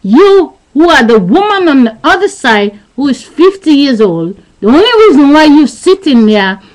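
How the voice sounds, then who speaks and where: A woman's voice: sits high, big pitch swings, moderate pace, a little mellow, poor audio. A woman in her thirties giving a rambling, repetitive philosophical monologue into a webcam, insisting that her listener understand. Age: 30s. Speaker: vlogger. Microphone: webcam mic.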